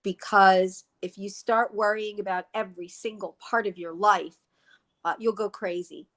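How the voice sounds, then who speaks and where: A woman's voice: mid-range voice, fairly even intonation, normal speed, a bit bright. A woman in her sixties from the Philadelphia Main Line, co-hosting a casual live chat, speaking reflectively, laughing often and repeating words while she thinks. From Philadelphia Main Line, USA. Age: 60s. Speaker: co-host.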